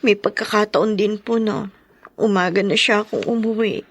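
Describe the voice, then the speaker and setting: An actress playing a gentle, distraught young Filipino wife in a radio drama, tearful, halting and pleading. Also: female voice, medium pitch, steady tone, dry recording, lo-fi sound, lightly acted. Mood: worried, downcast.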